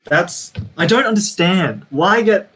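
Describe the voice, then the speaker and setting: A man's voice: high voice, lively intonation, a little slow, fairly bright tone, slightly rough. An Australian man giving blunt, opinionated, casual banter with some swearing on a podcast. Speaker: podcast panellist.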